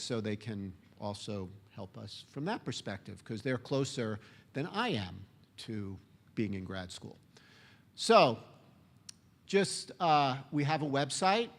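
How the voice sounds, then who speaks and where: A man giving a conference talk in a relaxed, conversational way, with frequent fillers. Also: medium pitch. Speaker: conference speaker.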